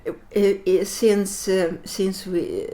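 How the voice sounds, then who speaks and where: An older woman from Sweden, a native Latvian speaker, answering interview questions reflectively in halting English as she searches for words. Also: medium pitch, steady tone, dark tone. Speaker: interview guest.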